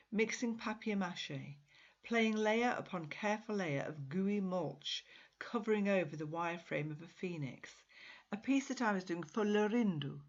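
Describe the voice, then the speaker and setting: Low, husky woman reading a story aloud. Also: highs cut off. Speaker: author reading own short story. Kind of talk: expressive literary narration.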